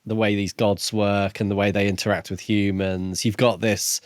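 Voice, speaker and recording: Lower-pitched man, measured podcast talk. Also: level tone, no echo, clean studio sound. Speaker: podcast co-host. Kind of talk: casual book discussion.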